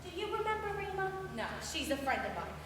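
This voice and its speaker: A woman's voice: very high voice, big pitch swings, fast, over a noisy crowd. An actress playing a brash, defensive, foul-mouthed younger sister in a live stage play, blunt and slangy in her theatrical storytelling. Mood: brash, animated. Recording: distant echoey hall, noisy stage recording.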